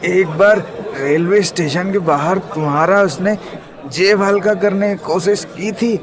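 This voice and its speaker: A man playing a cowardly, pleading street goon who talks haltingly in a comic audio drama. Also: high-pitched, a crowd in the background, noisy background. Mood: pleading, sheepish. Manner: halting, stammering.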